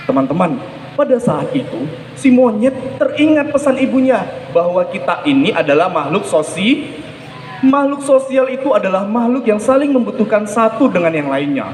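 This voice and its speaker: A woman telling an animated interactive story to children, asking questions and drawing out key words. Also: medium pitch, moderate pace. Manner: asks listeners questions, draws out key words. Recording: echoey hall, faint background noise.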